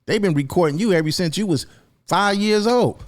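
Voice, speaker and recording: A man's voice: sits high, moderate pace. A man co-hosting with casual, blunt commentary, swearing and repeating his points for emphasis.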